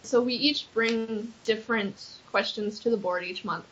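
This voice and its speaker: A young woman talks through her activism in a casual, earnest way. Her voice sits a touch high and her speech is loose and full of "like." The recording sounds a little dull and lo-fi. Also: unhurried, a bit bright, a little hiss or hum. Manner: earnest, chatty, frequent "like" fillers. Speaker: accessibility activist. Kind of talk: casual interview answers.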